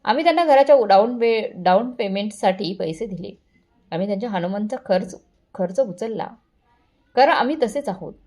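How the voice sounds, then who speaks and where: A woman's voice: medium pitch, animated, moderate pace, dark tone, close to an everyday voice. A woman voicing a boastful, condescending wealthy mother-in-law with showy, patronizing politeness, in a family story. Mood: smug.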